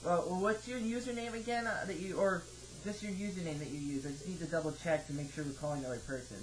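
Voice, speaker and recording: A man in his twenties talking haltingly and questioningly, like a customer service caller on a phone call. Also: a little high. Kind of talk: hesitant phone conversation. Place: home office, indoors. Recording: noisy home computer microphone recording.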